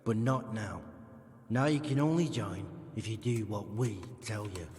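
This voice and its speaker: A man's voice: fairly low pitch, measured pace, a little mellow, lightly acted. A man playing a wary, menacing criminal recruiter in a video game, curt, sardonic and coarse in manner. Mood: stern, commanding. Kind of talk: dramatic video game cutscene acting. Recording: reverberant hall echo.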